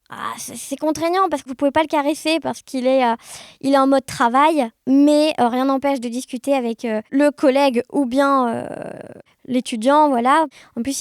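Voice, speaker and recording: A woman chatting casually as an interview guest, telling anecdotes in an animated, conversational way. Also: high-pitched, no room sound, studio quality. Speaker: podcast guest, blind guide dog user sharing experiences.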